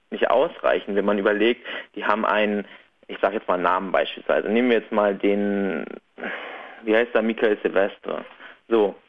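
A man's voice: on the low side, lo-fi sound. A male caller from Bremen on a radio phone-in, hesitant and full of fillers. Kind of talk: phone-in chat.

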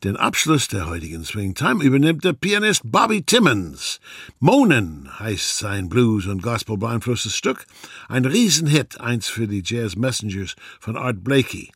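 An older man signing off a radio show with lively flair, from an archive broadcast. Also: mid-range voice, a little slow, slightly rough, no room sound, clean studio sound. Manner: lively, swinging sign-offs.

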